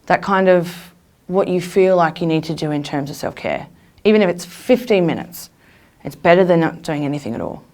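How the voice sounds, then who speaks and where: A woman's voice: lowish voice, animated, normal speed, a little mellow. A woman carer giving conversational advice drawn from her own experience.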